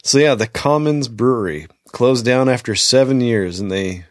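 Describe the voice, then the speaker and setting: A man's voice: mid-range voice, expressive, normal speed, no echo. A man hosts a laid-back podcast, reading news stories aloud and breaking in with his own remarks. His voice sits in the middle range and sounds dry and close. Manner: reads news items aloud with asides. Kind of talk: casual chat.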